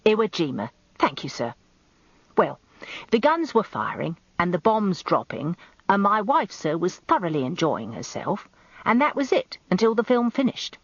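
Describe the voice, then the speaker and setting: A woman plays a weaselly British handyman in a radio story. He sounds servile and apologetic, with a creaky edge, on a dry, dull recording. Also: fairly low pitch, big pitch swings, normal speed, no room sound, pitched above the performer's own voice. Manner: apologetic, deferential, hesitant.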